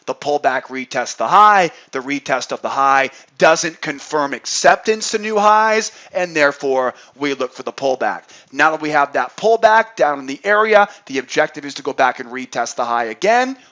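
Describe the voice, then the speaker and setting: A man's voice: fairly high pitch, fairly bright tone, highs cut off. A man hosting an informal market chart tutorial as an instructor, speaking emphatically, repeating points and checking in with the listener. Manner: emphatic, repetitive, checks in with "right?". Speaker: trading instructor and channel host.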